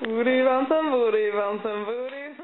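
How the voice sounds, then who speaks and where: A woman's voice: a little high, moderate pace, loud music behind the voice. A young woman talking playfully and singsong. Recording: tinny phone-like sound.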